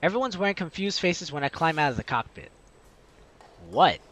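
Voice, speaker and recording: A man's voice: on the high side, expressive, moderate pace, a bit bright. A man hosting a let's play, reading the story aloud in a playful, dramatic way and adding his own commentary.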